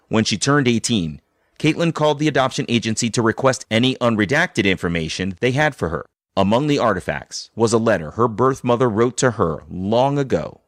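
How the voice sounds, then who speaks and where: A man's voice: medium pitch, no room sound, studio quality. A man hosting a podcast, moving between scripted narration and conversational interviewing. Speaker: podcast host.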